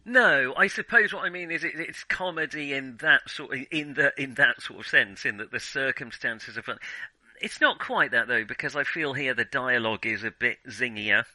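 Very bright-voiced man discussing films. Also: mid-range voice, moderate pace, no echo, low-quality recording. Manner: hesitant, self-qualifying, thinks aloud. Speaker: film podcast co-host. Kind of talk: casual film discussion.

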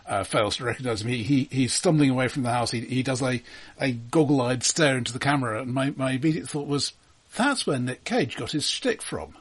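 A man with a somewhat husky voice talks casually as a film podcast co-host. He sits at a middling pitch and picks his way through his thoughts haltingly, with a wry, conversational touch.

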